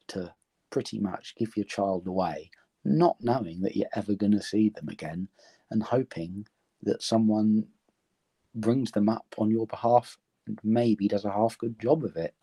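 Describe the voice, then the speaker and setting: A man in his thirties with an English accent, born in Romania, answers an interviewer's questions in a low, mellow voice. He speaks earnestly and thoughtfully, pausing often with "um" as he gathers his words. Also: breathy edge. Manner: earnest, thoughtful, many ums. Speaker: interview guest. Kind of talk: reflective conversation.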